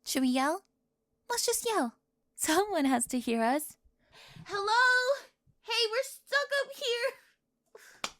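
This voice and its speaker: A woman's voice: very high voice, expressive, slow pace, pauses often, bright, forceful tone, no echo, studio quality, full character voice. A woman playing a sarcastic young cheerleader bully. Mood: anxious. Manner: sarcastic, prickly, defensive, often whispering. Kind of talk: ASMR roleplay.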